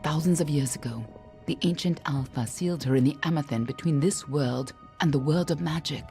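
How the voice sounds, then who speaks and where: An actress playing a stern, guarded warrior woman in a fantasy game, speaking in a cool, serious, measured way. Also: deep voice, mellow, soft background music. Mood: grave, guarded. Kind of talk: dramatic fantasy game dialogue.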